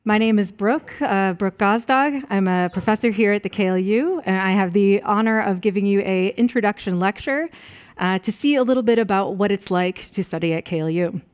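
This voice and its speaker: A woman's voice: medium pitch, a little fast, clean tone. American woman professor opening a lecture. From Rochester, New York, USA. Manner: friendly and conversational, asks the audience questions. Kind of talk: introductory lecture.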